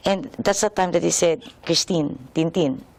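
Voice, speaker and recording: Low-voiced Filipino woman telling stories. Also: moderate pace, gravelly, darkish tone. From Philippines. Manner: chatty, self-interrupting storyteller. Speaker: guest. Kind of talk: casual interview chat.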